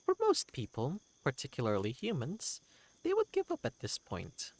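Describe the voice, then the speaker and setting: A man's voice: mid-range voice, wildly swooping pitch, normal speed, voice pushed up. A man voicing an eccentric, proud wanderer creature telling tales in a fantasy game, with a theatrical, whimsical and courteous manner. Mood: excited, boastful. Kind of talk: character voice in a fantasy game reading.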